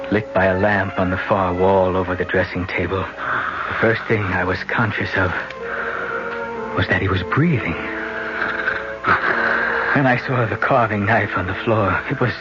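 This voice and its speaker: An actor playing a guilt-ridden young son who narrates his story tensely in a 1970s radio drama. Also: sits low. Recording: muffled 1970s radio broadcast.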